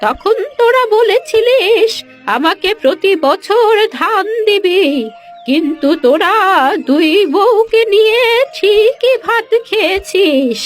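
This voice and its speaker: A woman's voice: extremely high pitch, expressive, soft background music, exaggerated voice. A woman playing a proud, betrayed old village mother in a cartoon story, scornful and reproachful. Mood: bitter, reproachful. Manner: scornful, reproachful, slow emphatic delivery. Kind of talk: Bengali cartoon moral-story acting.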